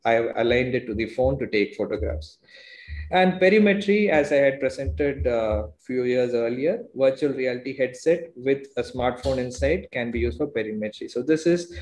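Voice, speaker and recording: A man in his thirties with an Indian English accent, presenting his own work to a conference over a video call in a steady, explaining way with many fillers. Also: medium pitch, normal speed, roomy sound. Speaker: presenting doctor. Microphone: earphone mic on video call.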